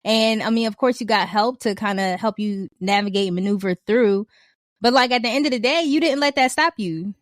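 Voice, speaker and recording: A woman's voice: mid-range voice, fairly quick, no room sound, studio quality. A woman hosting an interview podcast, encouraging and upbeat as she affirms her guest and asks her next question. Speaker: podcast host.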